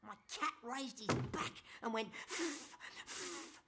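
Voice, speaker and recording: Cheeky high-voiced little boy, played by a woman. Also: takes it slowly, sharp tone, a little hiss or hum, full character voice. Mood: cheeky, earnest. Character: cheeky, matter-of-fact, foul-mouthed little schoolboy. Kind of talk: animated comedy voice acting.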